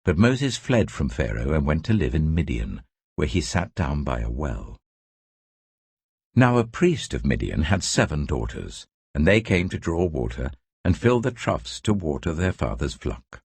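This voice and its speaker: A man reading scripture as a dramatic scripted narration, telling the story in a measured, expressive way. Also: extremely low pitch, breathy edge, dry recording. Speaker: Bible narrator.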